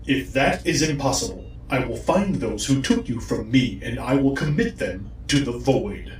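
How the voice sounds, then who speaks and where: A commanding, obsessed villain played by a man in an audio drama, drenched in echo. The delivery is deliberate and threatening, with dramatic swings of pitch, heard a little far from the mic. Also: expressive, lightly acted. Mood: brooding resolve. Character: commanding, obsessed villain hunting a lost loved one. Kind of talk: dramatic audio fiction acting. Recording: echoey, distant, faint noise.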